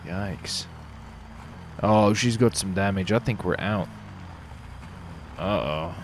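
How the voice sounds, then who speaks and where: A man's voice: sits low, slow pace, vocal fry, a little hiss or hum. A man doing casual commentary during an online roleplay game, given to swearing and exclamations. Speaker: gaming streamer.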